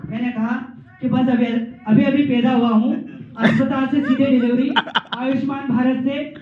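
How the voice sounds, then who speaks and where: A man's voice: very high-pitched, flat delivery, normal speed. A man giving a playful, mock-lecturing humorous speech to colleagues, repeating phrases for comic effect. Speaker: training participant performing a humorous recap. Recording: distant, echoing hall recording, slightly muffled.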